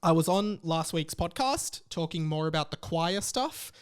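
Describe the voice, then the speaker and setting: A man with an Australian accent and a somewhat high-pitched voice hosts a podcast. He talks informally and casually, rambling through his points as if thinking aloud. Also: animated, normal speed, smooth. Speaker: podcast host. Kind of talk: casual solo podcast talk.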